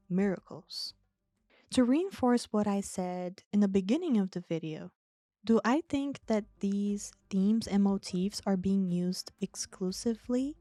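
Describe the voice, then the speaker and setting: A young woman talks in a slow, unhurried way. Her voice is warm and mellow. She sounds measured and self-deprecating, with faint music underneath. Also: mid-range voice, no echo. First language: Portuguese. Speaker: video essayist. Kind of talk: reading a scripted analysis, then chatting.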